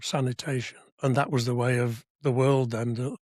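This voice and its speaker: A man in his seventies from Wales with a slightly husky voice, chatting through memories in an anecdotal way with dry asides. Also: mid-range voice, steady tone, moderate pace, a little mellow, no room sound. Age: 70s. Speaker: podcast guest, civic society member. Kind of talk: conversational reminiscence. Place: podcast studio.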